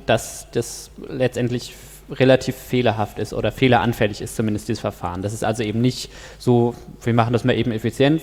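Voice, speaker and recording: A man moderates a panel, steering the guests and summing up their points. His voice is gravelly with a creaky edge. A long hall reverb hangs on it. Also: medium pitch, a little mellow. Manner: guiding, summarising, with fillers.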